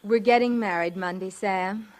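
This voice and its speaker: A female voice of an actress plays a young bride-to-be, sweet and affectionate with a teasing streak. Her pitch is medium, in the style of a vintage radio play. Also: moderate pace, natural voice. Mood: tender. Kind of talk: 1940s radio drama acting. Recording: vintage 1940s radio recording.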